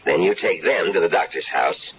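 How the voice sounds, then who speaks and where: A bitter, cornered schemer. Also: male voice, low voice, animated, moderate pace, fairly bright tone. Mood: urgent. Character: cornered male schemer, defiant then bitter. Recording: dull old radio broadcast with hiss.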